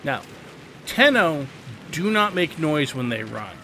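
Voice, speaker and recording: A man hosts a video game guide in a bright, forceful voice. His pitch moves freely as he explains things with emphatic opinions and instruction. Faint game ambience of rain sits behind him. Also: big pitch swings, slow, a little hiss or hum. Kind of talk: casual game tutorial commentary.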